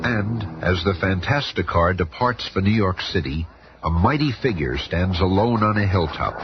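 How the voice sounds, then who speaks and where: A man's voice: deep voice, expressive, moderate pace, noisy background. A man playing a solemn, dramatic narrator of a superhero adventure in a 1970s radio drama. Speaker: announcer narrator. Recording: dull old radio recording, loud music behind.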